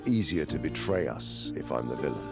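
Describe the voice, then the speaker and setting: An actor playing a grave, embittered older father in a game drama, slow and weary in delivery. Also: lively intonation, heavily acted. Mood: wounded accusation. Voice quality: very deep, gravelly. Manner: slow, weary, measured, accusing. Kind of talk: cinematic game drama acting. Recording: loud music behind. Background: music.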